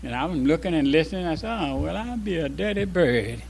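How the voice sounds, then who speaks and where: An older man, a former imam, speaks informally to a congregation, reminiscing in a halting, reflective way with pauses mid-sentence. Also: fairly high pitch, expressive, creaky, a little mellow. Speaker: former resident imam giving an address.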